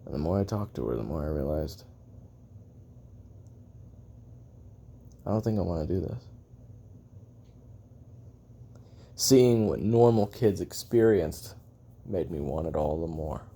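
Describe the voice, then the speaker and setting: A man playing a bitter, weary assassin in an audio roleplay, recounting his life in a brooding, profane monologue with heavy pauses. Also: low-pitched, animated, creaky, mellow, slight background noise. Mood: wistful, anguished.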